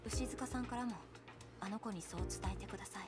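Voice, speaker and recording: A woman's voice: medium pitch, very fast, natural voice. An actress playing a firm, blunt teenage schoolgirl in a visual novel game. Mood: resolute. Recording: music behind the voice.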